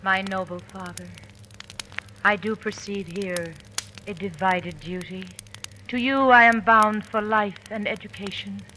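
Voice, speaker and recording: A woman's voice: mid-range voice, fairly even intonation, measured pace, pushed and bright. A woman playing a dutiful, steadfast young wife and daughter, formal and poised in old radio Shakespeare style. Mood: earnest, respectful. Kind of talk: 1940s radio Shakespeare acting. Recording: faintly noisy old radio broadcast.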